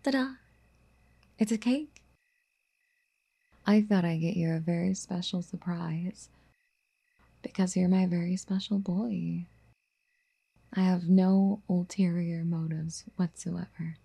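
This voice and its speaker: Woman acting as a soft, husky yandere girlfriend. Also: fairly low pitch, steady tone, slow, long pauses, exaggerated voice. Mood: sweetly doting. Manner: cooing, coaxing pet-name sweet talk. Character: doting, obsessive, clingy yandere girlfriend, wealthy and apologetic. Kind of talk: ASMR girlfriend roleplay, soft and half-whispered.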